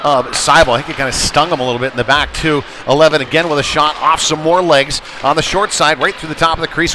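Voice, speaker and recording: A man's voice: on the high side, background noise. A man doing casual, conversational live play-by-play commentary of a youth hockey game from a rink, on a headset. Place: chilly ice rink.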